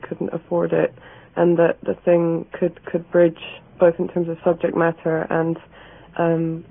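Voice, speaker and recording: Dutch-born female editor, flat gravelly delivery, lo-fi phone-like broadcast. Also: lowish voice. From Netherlands. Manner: thoughtful, repeats words while searching. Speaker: magazine editor. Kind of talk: interview answers. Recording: tinny phone-like radio sound.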